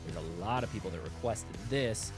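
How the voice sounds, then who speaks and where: A man hosting a music reaction show, talking in a chatty, excitable way with many fillers and restarts. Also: lowish voice, a little fast. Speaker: music producer and show host. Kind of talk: casual reaction chat.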